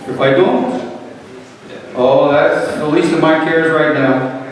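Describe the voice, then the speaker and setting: Man acting as old father, high voice, loving, wounded, theatrical drama reading, long hall reverb, distant mic. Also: slow. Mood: resigned, loving.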